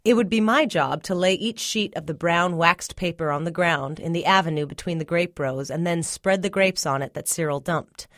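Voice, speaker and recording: A woman's voice: fairly low pitch, normal speed, hardly any pauses, fairly bright tone, dry recording, clean studio sound. A woman reading a first-person literary short story aloud as scripted narration. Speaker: story reader.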